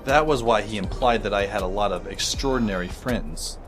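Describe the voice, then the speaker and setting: A man's voice: fairly low pitch, fairly quick, lightly acted. A man playing a wry, reflective teenage boy who narrates his own story in an audio drama. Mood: musing. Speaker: narrator and lead character. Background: music under the voice.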